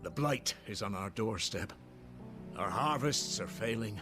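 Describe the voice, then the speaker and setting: A man's voice: fairly low pitch, lively intonation, a little slow. Careworn village man, music behind. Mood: bleak. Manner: earnest, careworn. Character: weary, deferential village man, bitter but kindly. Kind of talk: dramatic video game acting.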